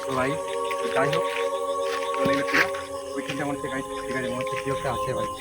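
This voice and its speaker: A man talking to viewers as an outdoor vlogger, in a repetitive, excitable and uneasy way. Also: mid-range voice, fast. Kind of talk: outdoor ghost-hunting vlog talk, addressing friends and camera. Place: outdoors at night on a village path. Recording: loud music over outdoor night recording. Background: loud music, chirping night insects.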